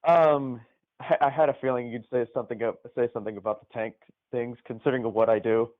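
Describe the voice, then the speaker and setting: A tinny, narrow phone-like line carries a man of medium pitch. He is a caller on a gaming stream, talking casually and looking back on his play. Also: fairly even intonation, fast talker. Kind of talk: casual chat, reminiscing about gaming. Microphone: live call into the stream. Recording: tinny, band-limited phone-like call.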